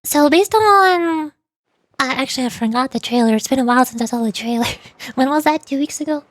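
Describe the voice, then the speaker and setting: A woman's voice: high-pitched, fairly quick. A playful, giggly woman chatting casually as she streams a game. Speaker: streamer voice acting game dialogue. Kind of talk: casual livestream chat. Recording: music behind.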